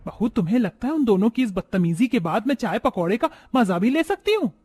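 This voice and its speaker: A man plays a stern older village mother-in-law from a family story. Her high voice scolds with exasperation and reproach. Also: very animated, brisk, darkish tone, husky, exaggerated voice. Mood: upset.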